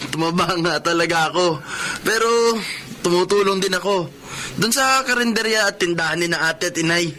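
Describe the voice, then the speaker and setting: An earnest young son who has mended his ways. His voice is high and bright, in radio drama style with faint noise. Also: a little fast. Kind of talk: Tagalog radio drama acting.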